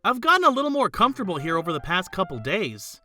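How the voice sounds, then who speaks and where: Woman as bright, animated student narrator. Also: fairly low pitch, fast, soft background music. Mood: narrating, observant. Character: earnest, kindly high school student narrator. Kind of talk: first-person scripted narration, fan dub acting.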